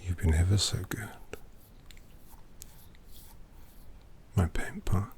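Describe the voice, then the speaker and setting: A performer in an ASMR roleplay voicing a suave, possessive vampire painter who dotes on a captive in a breathy whisper, slowly and with menacing tenderness, close to the microphone. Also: low voice, fairly even intonation, darkish tone, a little hiss or hum. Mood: pleased, doting. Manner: slow, deliberate, indulgent, menacingly tender.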